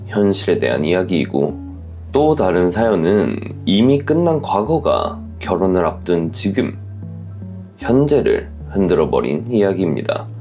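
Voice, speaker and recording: A man with a deep, creaky voice narrates listener stories and comments on them reflectively. His intonation moves widely as he puts measured questions to listeners. Soft music plays behind him. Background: background music.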